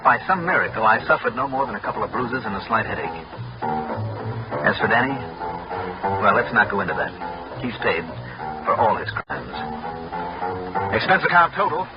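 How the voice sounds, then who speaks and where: A man's voice: mid-range voice, lightly acted. A man playing a tough, wry insurance investigator, narrating his case in a clipped 1960s radio detective style. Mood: wry relief. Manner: clipped, hard-boiled delivery. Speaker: lead actor and narrator of a radio detective serial. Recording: muffled old radio recording with music.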